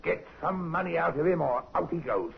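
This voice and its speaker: Gravelly, bossy innkeeper. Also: male voice, fairly high pitch, expressive, a little fast. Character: gruff, blunt English innkeeper husband, middle-aged. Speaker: radio drama actor. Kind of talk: 1950s radio crime drama acting. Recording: muffled vintage radio recording.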